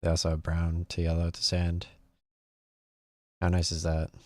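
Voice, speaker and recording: A man's voice: sits low, completely flat delivery, moderate pace, dry recording, clean studio sound. A man hosting a gaming video, giving casual gameplay commentary and thinking aloud in a rambling way.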